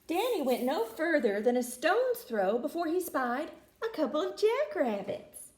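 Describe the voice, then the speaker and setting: A woman reading a children's picture book aloud with expressive, folksy inflection. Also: sits high, measured pace, roomy sound. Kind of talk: animated children's story reading.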